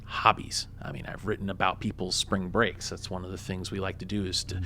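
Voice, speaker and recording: Deep-voiced male reporter guest, wisecracking, conversational, faint background hiss. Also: flat delivery, fairly quick, gravelly, bright, forceful tone. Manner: joking, loose and conversational with many fillers. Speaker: guest, reporter for an online publication. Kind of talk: radio interview.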